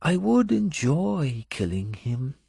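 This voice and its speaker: A male audiobook reader acts out a guarded, bitter foreign woman who was once a slave. The voice lifts above his own and moves slowly in short, halting phrases. It has a husky grain and a haunted, angry edge. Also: on the high side, sing-song, dark tone, no room sound, studio quality, pitched above the performer's own voice, exaggerated voice.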